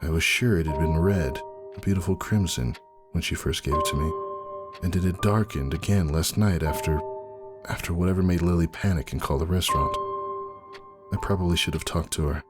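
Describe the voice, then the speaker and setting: A man giving a dramatic first-person story reading as narrator, husky and brooding in manner. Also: low-pitched, fairly quick. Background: faint music.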